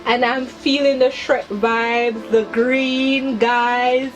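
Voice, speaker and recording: A woman's voice: on the high side, level tone, very slow, soft background music, low-quality recording. A young adult woman hosting a chatty video for her viewers, with a hyper, giggly manner and emphatic repetition of words. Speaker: video channel host. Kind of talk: chatty personal commentary to viewers.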